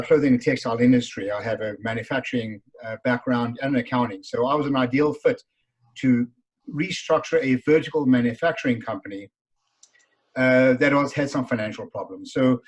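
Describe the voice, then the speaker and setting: Man, middle-aged, South African accent, husky voice, conversational guest, fills pauses with uh. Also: mid-range voice, highs cut off. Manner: conversational, many uh fillers.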